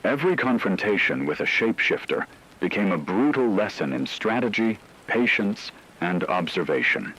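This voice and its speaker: A man reading dramatic science-fiction narration in a grave, measured storytelling manner. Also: on the low side, big pitch swings, pushed and bright, slightly rough, rough recording. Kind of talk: dramatic scripted sci-fi narration.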